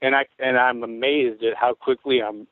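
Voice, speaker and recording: A man in his forties from Tacoma, Washington, with a rough, worn voice, telling stories in a rambling, laid-back way as a guest on an interview show. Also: mid-range voice, steady tone, moderate pace, dry recording. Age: 40s. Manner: rambling, laid-back storytelling with frequent swearing. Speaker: guest musician. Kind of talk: casual interview chat. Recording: tinny phone-like line, dry.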